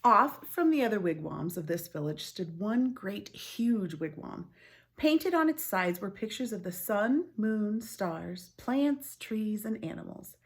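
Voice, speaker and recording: A woman reading a story aloud like a teacher, expressive and clearly phrased, with informal asides. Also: medium pitch, measured pace.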